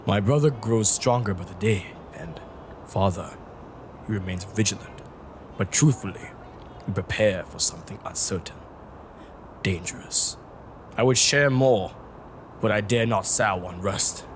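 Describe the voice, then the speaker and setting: Man as a slow, solemn young warrior student. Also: on the low side, slight background noise, natural voice. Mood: respectful, guarded. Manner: formal, solemn, measured. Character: earnest, dutiful young male martial arts student. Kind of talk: audio drama acting.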